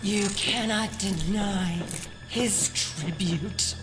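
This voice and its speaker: An actress playing a menacing, fanatical cultist killer in an audio drama, taunting and condescending. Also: female voice, medium pitch, very slow. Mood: fanatical defiance. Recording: voice under loud dramatic music. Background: loud suspense music.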